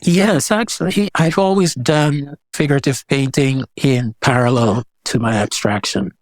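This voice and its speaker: A man's voice: mid-range voice, a little slow, dry recording. Older man, dry close recording. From Guyana. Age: 70s. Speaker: guest, visual artist. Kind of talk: casual reflective interview talk.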